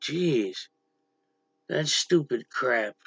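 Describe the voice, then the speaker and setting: A man hosting a casual livestream with a gravelly voice, talking bluntly to viewers and snapping at chatters who annoy him. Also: mid-range voice, lively intonation, extremely slow, a bit bright, no room sound. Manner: blunt, irritated, snaps at chatters. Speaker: livestream host. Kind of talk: casual livestream chat reading viewer comments. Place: dim room at home, power out.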